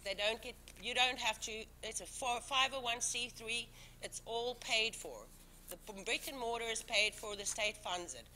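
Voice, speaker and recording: A woman's voice: on the high side, moderate pace, sharp tone, slight background noise, poor audio. A woman serving as town commissioner, speaking English as a second language, who talks emphatically and defensively with many restarts at a public board meeting. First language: not English. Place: town council meeting room.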